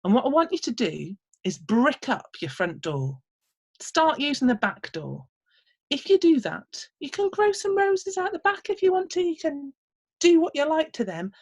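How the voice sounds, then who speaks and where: A tiny fairy man, bossy and indignant, scolding in a high, sharp voice, as a woman storyteller puts him on. He leaps high and swoops low as he complains. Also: very animated, moderate pace.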